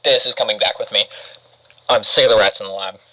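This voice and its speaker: A man's voice: lowish voice, fairly even intonation, fast talker, sharp tone, rough recording. A man playing a brash, curious young guy who talks in a slangy, offhand way, as if vlogging. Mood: startled, curious. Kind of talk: casual vlog-style acting.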